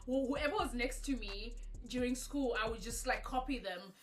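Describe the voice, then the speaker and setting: A woman's voice: on the high side, normal speed. Chatty young woman from Zimbabwe. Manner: chatty, candid, lots of filler "like". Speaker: vlogger. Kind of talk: casual get-to-know-me Q&A. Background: background music.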